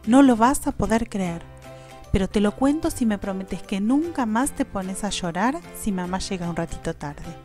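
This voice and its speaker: A woman with an Argentine accent playing a playful, dramatic schoolteacher mother in an animated, emphatic manner. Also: medium pitch, normal speed, faint music behind, natural voice. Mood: affectionate, teasing.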